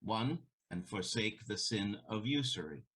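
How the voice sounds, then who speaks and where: A man acting a solemn elderly merchant in a Shakespearean audio play, speaking in a measured, formal way. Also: on the low side, expressive, fairly bright tone, husky, heavily acted.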